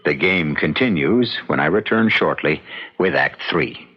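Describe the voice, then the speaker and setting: A man hosting a radio mystery as a brooding storyteller, deliberate, philosophical and ominous. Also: a bass voice, animated. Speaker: host and narrator. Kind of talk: dramatic radio narration. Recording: dull radio recording with faint music.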